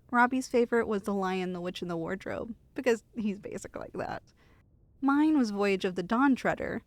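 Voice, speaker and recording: A woman's voice: mid-range voice, no room sound, natural voice. A young woman playing an affectionate, chatty girlfriend who reassures her partner in an intimate ASMR roleplay. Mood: playful, teasing. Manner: playful, reassuring, storytelling to one listener. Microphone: close studio mic.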